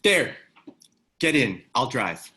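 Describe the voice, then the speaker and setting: A man playing a wary, gruff ex-government reluctant hero in a screenplay table read. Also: mid-range voice, very animated, slow pace, pushed and bright, full character voice. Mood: commanding.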